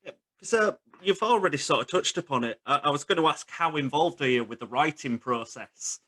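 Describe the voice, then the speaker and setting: A man's voice: on the high side, animated, a little fast, sharp tone, slight background noise. A man hosting a casual interview, putting questions to his guest in conversational speech. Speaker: interview host.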